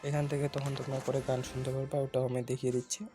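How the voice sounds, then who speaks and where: A man's voice: mid-range voice, flat delivery, rapid-fire, warm tone, faint music behind. A man hosting a casual how-to video, giving step-by-step instructions and often repeating his phrases. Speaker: tutorial video host. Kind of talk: casual screen-recorded phone tutorial narration.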